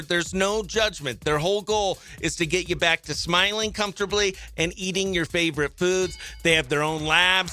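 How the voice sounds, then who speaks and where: A man presenting a country radio morning show live in an upbeat, animated, chatty and exclamatory way. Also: on the high side.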